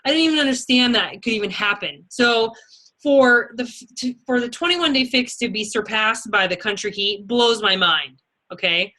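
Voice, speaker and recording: A woman's voice: fairly high pitch, moderate pace, pushed and bright, rough recording. A woman leading a team as a fitness sales coach, talking casually and chattily in an informal motivational style. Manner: informal, chatty, peppered with okay and you know.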